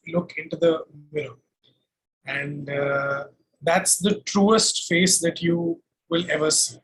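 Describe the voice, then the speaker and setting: A man with an Indian accent giving a reflective interview as a guest, explaining things deliberately. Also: on the high side, fairly bright tone, rough recording. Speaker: guest, singer-songwriter. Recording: faint background noise.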